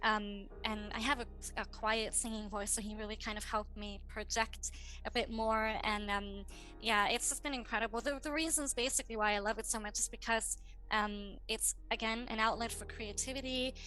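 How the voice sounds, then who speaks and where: A woman's voice: a little high, steady tone, pushed and bright, soft background music, low-quality recording. A German woman in her late twenties, chatting enthusiastically as a guest in a casual interview and laughing easily. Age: 20s. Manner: enthusiastic, bubbly, quick to laugh.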